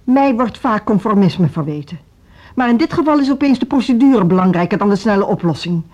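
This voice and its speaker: An actress playing a firm, defensive music-school leader in a radio drama, speaking formally and articulately. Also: female voice, mid-range voice, expressive, a little fast, dark tone, natural voice. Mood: defensive, reproachful. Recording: dull, noisy old radio recording.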